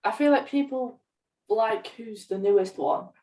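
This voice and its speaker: A woman's voice: a little high, expressive, unhurried, vocal fry, dark tone. A young woman co-hosting a podcast in casual chat. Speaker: podcast co-host. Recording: distant from the microphone.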